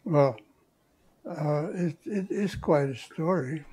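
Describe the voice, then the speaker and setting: Slow older man, mellow voice. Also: fairly high pitch. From Netherlands. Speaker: interviewee. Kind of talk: oral history interview. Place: living room, seated on a sofa.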